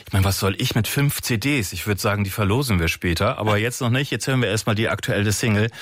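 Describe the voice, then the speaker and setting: A man's voice: lowish voice, fast talker, fairly bright tone, no echo, clean studio sound. A male radio presenter chatting with a guest and asking friendly follow-up questions. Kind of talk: radio interview.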